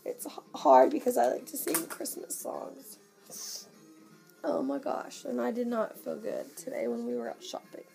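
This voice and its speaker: Hoarse woman vlogging at home, slow, a bit high, soft christmas music in the back. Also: huge pitch swings. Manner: gushing, coos softly to the doll. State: hoarse, coughing. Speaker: reborn doll collector vlogger. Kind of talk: casual chat to camera. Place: home nursery room, indoors. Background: Christmas music playing.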